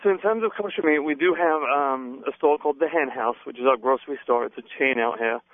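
A man with a fading English accent, talking as a guest on the phone in a friendly, measured way. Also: mid-range voice, no room sound. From England. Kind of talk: phone interview. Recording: tinny phone line.

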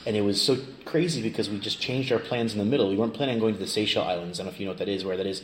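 A middle-aged American man with a fairly low voice talks as an interview guest. He is informal and jokey. The sound is roomy, with clear noise around him. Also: racing. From Kansas, United States. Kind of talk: casual conversational interview. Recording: roomy, clearly noisy location recording.

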